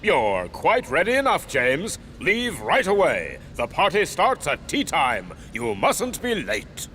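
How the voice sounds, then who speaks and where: A man playing a bossy older railway controller, a grandfather, in a children's cartoon, with a brisk, commanding manner. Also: on the high side, wildly swooping pitch, sharp tone, a little hiss or hum, heavily acted. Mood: stern. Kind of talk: children's cartoon character acting.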